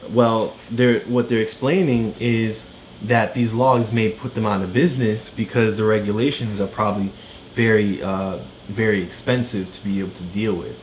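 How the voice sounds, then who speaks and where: A man tutoring a child, casually explaining a storybook in simple, halting steps. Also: on the low side, fairly even intonation, slight background noise, poor audio.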